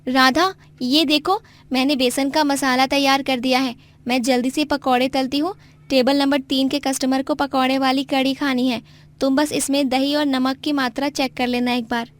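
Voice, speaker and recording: A woman's voice: high-pitched, level tone, normal speed, lightly acted. A woman playing a busy, helpful young sister at a food stall in a Hindi story. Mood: busy, brisk. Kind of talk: Hindi moral story voice acting.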